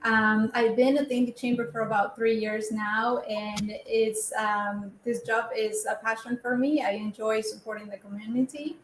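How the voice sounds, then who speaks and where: A woman's voice: on the high side, steady tone, moderate pace, hardly any pauses, echoey room, slight background noise, low-quality recording. A woman from Ecuador introducing herself as a business council director, friendly with some hesitations. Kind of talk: casual interview.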